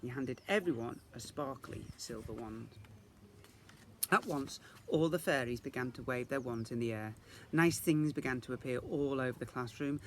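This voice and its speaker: A man reading a children's story aloud in the expressive style of a teacher reading to young children. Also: medium pitch, moderate pace, a little hiss or hum.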